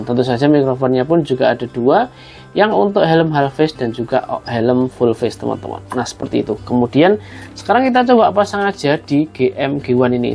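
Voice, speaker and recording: A man's voice: mid-range voice, gravelly, a little mellow. A man explains a hands-on job step by step in a casual, conversational way, addressing viewers as friends. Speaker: host of a tutorial video.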